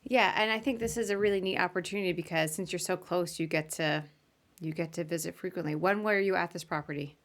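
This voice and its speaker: Chatty woman hosting, lowish voice. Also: fast talker, a bit bright. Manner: informal, chatty. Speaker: podcast host. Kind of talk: casual live interview.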